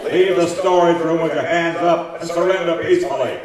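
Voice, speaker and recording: Stern police officer played by a man: highish voice, authoritative, live radio drama, distant echoey recording. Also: fairly even intonation, brisk, lightly acted. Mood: stern, commanding. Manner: loud, authoritative, clipped orders. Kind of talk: live old-time radio acting. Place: live stage show in a community hall. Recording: echoey hall, distant mic, crowd noise.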